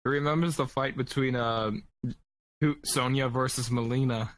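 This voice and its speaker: A man's voice: medium pitch, steady tone, moderate pace, a bit bright. A man chatting casually on a podcast panel, hesitant and repeating his words. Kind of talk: casual chat.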